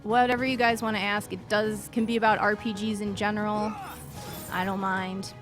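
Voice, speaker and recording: A woman's voice: mid-range voice, little pitch movement, normal speed. Female game-stream host, Chicago accent, mid-range voice, informal and self-effacing, music playing behind. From northern United States. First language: English. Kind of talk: casual chat during a video game stream. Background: video game music.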